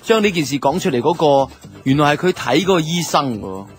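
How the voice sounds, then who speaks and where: Male actor as wry, aggrieved office guy narrating a radio drama, lightish pitch, Hong Kong Cantonese, conversational grumble. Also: very animated, a little fast. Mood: wry, exasperated. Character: wry, aggrieved male advertising office worker telling his story. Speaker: narrator and lead character of a radio drama series. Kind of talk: first-person radio drama narration, colloquial. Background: background music.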